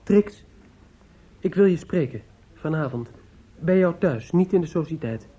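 An actor playing a troubled young grieving son, terse, hesitant and insistent, in a radio drama. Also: male voice, fairly high pitch, lively intonation, a little slow, mellow, husky. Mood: urgent, insistent. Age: young adult. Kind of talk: Dutch radio drama acting. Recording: dull, noisy old radio drama.

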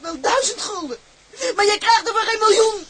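A woman's voice: normal speed, very noisy. A woman playing a furious, hurt girl in a Dutch period drama, crying as she hurls insults. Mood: tearful rage. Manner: shrill, crying, hurling insults. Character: furious, hurt girl attached to her ship.

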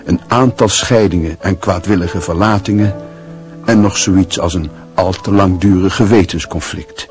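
An actor narrating a literary radio drama in a measured, reflective, scripted style. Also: male voice, low voice, soft background music, natural voice. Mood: wry, reflective.

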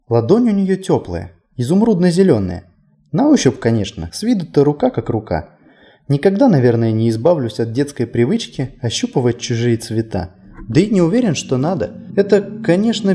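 Lively, mellow male storyteller. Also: mid-range voice. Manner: lively, wry and whimsical. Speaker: audiobook narrator. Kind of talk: scripted first-person narration.

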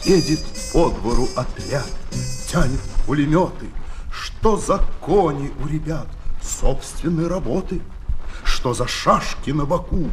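A man's voice: on the high side, animated, unhurried. A man performing verse for children on a vintage disc recording. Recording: vintage flexi-disc with faint music.

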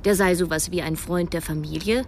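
A woman's voice: fairly low pitch, steady tone, fairly quick, a little mellow, a little hiss or hum, natural voice. An actress in her early thirties playing a broke, self-doubting young woman, narrating a radio drama in a reflective, literary way. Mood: reflective, wry. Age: early 30s. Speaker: narrator and lead character. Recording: music behind the voice.

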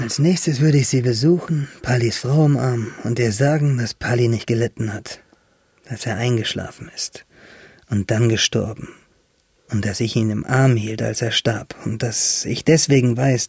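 An actor playing a wistful, tender young shipwrecked seaman in a radio drama monologue, intimate and halting, with a husky voice. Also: mid-range voice, a little mellow, no echo. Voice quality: husky, gravelly, breathy.

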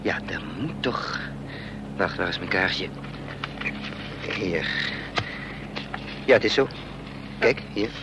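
A man's voice: low voice, lively intonation, slow, long pauses. An actor playing an anxious, scheming adventurer in a radio play, muttering and hesitating as he thinks aloud. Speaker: protagonist and narrator of a radio play. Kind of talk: Dutch radio play acting. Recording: noisy old radio play recording, vehicle drone.

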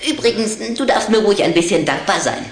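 A woman's voice: medium pitch, animated, fast, close to an everyday voice. A woman playing a smug, boastful boy vampire in a German children's audio drama, teasing, in an echoing space. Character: smug, self-important boy vampire, cocky best friend. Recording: echoey, faint background hum.

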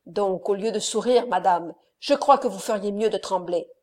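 A woman voicing a furious, jealous nobleman who hurls loud accusations in an audiobook reading. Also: medium pitch, normal speed, close to an everyday voice. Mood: threatening.

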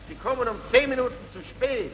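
An actor playing a blunt, gossipy house porter in film drama, with halting, emphatic delivery. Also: male voice, extremely high pitch, a bit bright, big-room sound, slight background noise, rough recording. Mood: dismissive.

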